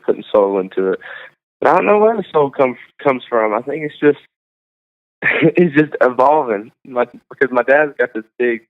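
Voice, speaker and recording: Halting young man over a tinny line. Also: medium pitch, clean tone. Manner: halting, full of likes and ums. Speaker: singer-songwriter guest. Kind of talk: casual interview chat. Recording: tinny phone-like sound.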